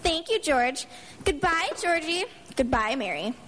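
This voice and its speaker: Sassy little flirt, high, swooping, coy, radio play, noisy stage recording. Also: female voice, very animated, measured pace. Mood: coy, flirty. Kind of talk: live radio drama acting.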